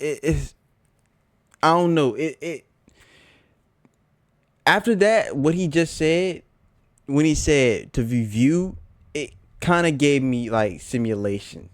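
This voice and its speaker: A man's voice: on the high side, lively intonation, takes it slowly, pauses often, no echo, studio quality. A man giving casual, slangy reaction commentary as a video host, repeating phrases for emphasis.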